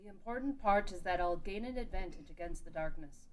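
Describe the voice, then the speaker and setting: A woman's voice: on the low side, a little fast, natural voice, low-quality recording. A woman playing a stern, devoted warrior bodyguard in a fantasy table read, recorded with some noise. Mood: determined. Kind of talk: dramatic table read of a fantasy game story.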